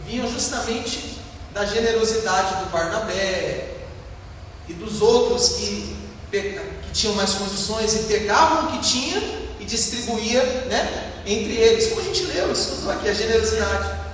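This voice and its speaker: A man's voice: sits high, moderate pace, a bit bright. A Brazilian man preaching as a pastor, explaining a Bible passage in a didactic, emphatic way with rhetorical questions and repeated points. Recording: echoey hall, distant mic, faint noise.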